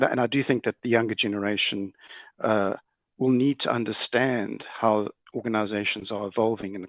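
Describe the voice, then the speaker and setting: South African man, middle-aged, low voice, dry sound, measured and hesitant, formal panel answers. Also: a bit bright, no room sound. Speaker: corporate lawyer, panel guest. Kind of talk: considered panel discussion answers.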